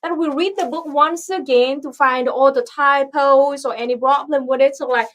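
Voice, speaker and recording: A woman's voice: high voice, fairly even intonation, a bit bright. Vietnamese-accented woman chatting on a livestream. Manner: halting, searching for words. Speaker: livestream host. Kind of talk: casual livestream chat.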